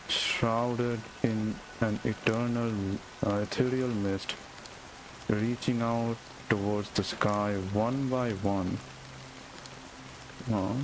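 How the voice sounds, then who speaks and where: A man's voice: mid-range voice, background noise. A man doing casual gaming commentary, reacting with short questioning asides as he plays. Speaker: gaming streamer. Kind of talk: casual game playthrough commentary. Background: game music and rain sounds.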